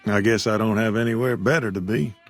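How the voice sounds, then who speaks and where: A man's voice: on the low side, steady tone, brisk, faint music behind, lightly acted. A man voicing a weary old frontier shopkeeper who talks in a drawl. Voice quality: gravelly drawl. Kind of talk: video game dialogue.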